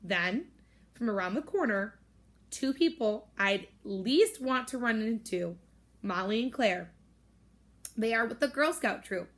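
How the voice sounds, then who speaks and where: A woman teacher reading a novel aloud to her students, with expressive narration. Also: unhurried. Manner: expressive, raises pitch for girls' voices. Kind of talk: storybook read-aloud with character voices.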